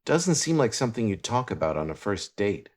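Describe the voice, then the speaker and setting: A man playing a laid-back, out-of-work cartoon star who drives rideshares, talking calmly and matter-of-factly in short low-key replies. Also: fairly quick. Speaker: rideshare driver in an animated series.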